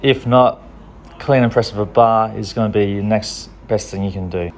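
A young man from a strongman gym, giving a relaxed, practical training tutorial in plain everyday words. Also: fairly low pitch, normal speed, a little hiss or hum. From Australia. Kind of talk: casual training tutorial voice-over.

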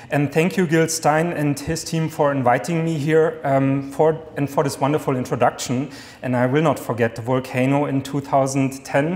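A man in his thirties with a German accent gives an enthusiastic academic lecture from a podium, talking fast with many fillers and restarts. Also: fairly high pitch, darkish tone. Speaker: guest lecturer. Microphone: podium mic. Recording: echoey lecture hall, long reverb.

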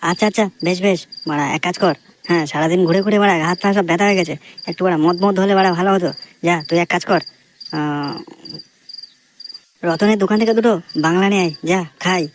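Guy doing bossy sweary cartoon buddy, west bengal accent, talks super fast, bit of noise. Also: high voice, lightly acted. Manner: coarse, brash, swears constantly. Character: bossy, foul-mouthed idle male buddy. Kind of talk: comedy cartoon dialogue. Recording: faint background noise.

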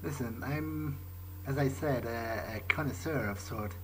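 A man's voice: mid-range voice, unhurried, a bit bright, slight background noise. A man roleplaying in character as he plays a video game, thinking aloud in a hesitant, musing way. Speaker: gamer playing in character. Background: prominent game music.

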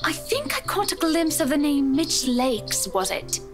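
A woman voicing an elegant, composed married lady in a visual novel game, speaking in a gentle, polished, affectionately teasing way. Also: high-pitched, big pitch swings, a little slow, over music, exaggerated voice. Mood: coaxing. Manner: gentle, polished, terms of endearment. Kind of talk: scripted visual novel voice acting.

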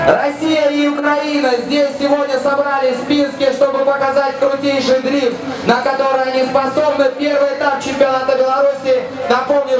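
A man announcing a motorsport event to the crowd, loud and energetic, shouting over the track. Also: very high-pitched. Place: outdoor drift track. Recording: echoey PA sound from far off with music.

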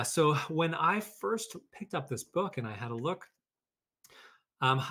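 Guy teacher doing kids read-aloud, casual explainer style, medium pitch. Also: fairly bright tone. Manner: informal, explanatory. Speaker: educator, read-aloud host. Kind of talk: casual talk and storybook reading for children.

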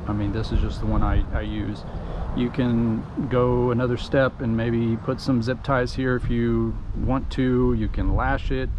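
A middle-aged man with a Texan accent, giving a relaxed, folksy step-by-step how-to while working at a pickup truck tailgate outdoors, with frequent ums and uhs. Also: lowish voice, lo-fi sound. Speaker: DIY tutorial host. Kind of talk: casual step-by-step how-to narration. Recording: noisy outdoor camera audio.

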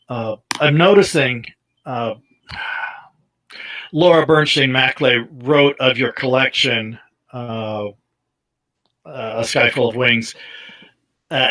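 Middle-aged male host, hesitant with frequent fillers, bright tone, headset on a video call. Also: mid-range voice, poor audio. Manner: hesitant, many ums and uhs. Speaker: interviewer, host. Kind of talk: interview questions.

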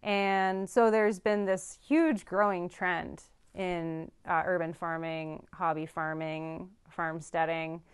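Smooth-voiced woman vet, earnest. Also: lowish voice, fairly even intonation, takes it slowly. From Canada. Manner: earnest, thoughtful, many ums and restarts. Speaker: farm veterinarian. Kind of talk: interview answers. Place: studio with dark backdrop.

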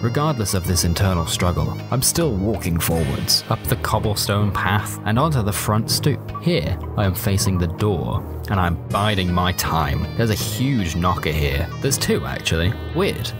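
A man's voice: low-pitched, expressive, normal speed, over music. A man reading a scripted comic first-person story with wry, conversational asides.

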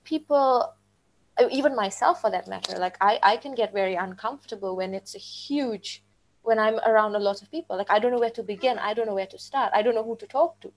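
A woman's voice: mid-range voice, fairly quick, a little hiss or hum, low-quality recording. A woman co-hosting a live webinar, speaking earnestly in casual chat full of "like" fillers. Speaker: webinar co-host, teacher. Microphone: video call.

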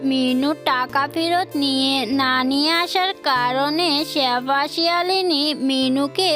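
A high-pitched narrator reads a scripted story for a children's cartoon. Children's music plays clearly behind the voice. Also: slow pace, pushed and bright.

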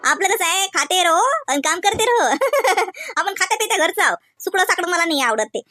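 A woman voicing a homely housewife hostess in a comedy cartoon, polite on the surface and privately sharp-tongued. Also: very high voice, lively intonation, brisk, no room sound, studio quality, full character voice. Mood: cheerfully pointed. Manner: polite and chatty, with catty muttered asides. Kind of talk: exaggerated cartoon comedy dialogue.

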